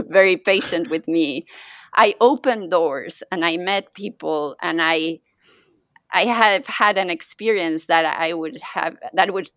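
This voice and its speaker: Female guest from Mexico, Spanish native, lowish voice, gentle and reflective, dry sound. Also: no echo. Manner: thoughtful, gentle, laughs often. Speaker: podcast guest, yoga teacher and health coach. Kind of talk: casual interview conversation.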